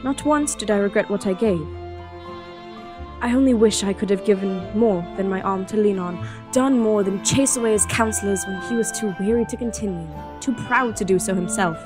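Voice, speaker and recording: A woman's voice: medium pitch, normal speed, natural voice. Woman playing an earnest, devoted young princess over music. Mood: wistful, grieving. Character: devoted, strong-willed young princess, grieving for her father. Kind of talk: audio drama acting with first-person narration.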